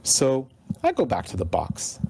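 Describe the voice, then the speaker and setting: Animated storytelling man in his thirties. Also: medium pitch, unhurried, vocal fry, husky. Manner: animated storyteller, laughs often, acts out the people he quotes. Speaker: guest, video game composer. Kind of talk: podcast interview.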